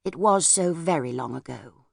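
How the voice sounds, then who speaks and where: A woman voicing a reserved, wistful older lady in an audiobook, speaking gently and hesitantly as she looks back. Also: low-pitched, expressive, moderate pace, vocal fry, husky, dry recording, studio quality, full character voice. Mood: amused, hesitant. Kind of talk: audiobook character voice.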